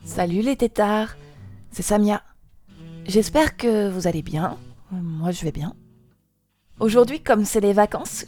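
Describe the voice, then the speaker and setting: A woman's voice: mid-range voice, darkish tone. A woman hosting a radio show, giving a casual, prepared introduction. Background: music.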